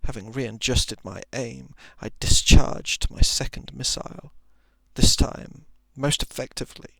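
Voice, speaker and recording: A man reading a thriller short story as ASMR, in a soft, hushed, often whispered voice with dramatic, drawn-out phrasing. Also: mid-range voice, vocal fry, no room sound. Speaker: narrator.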